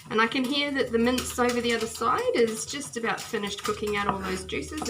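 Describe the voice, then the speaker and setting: A woman from New Zealand hosting a home cooking video, chatting cheerfully as she chops and stirs in her kitchen. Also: medium pitch. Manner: cheerful and chatty, often laughing, talks while chopping and stirring. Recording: noisy home kitchen with frying sizzle. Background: food sizzling and kitchen clicks.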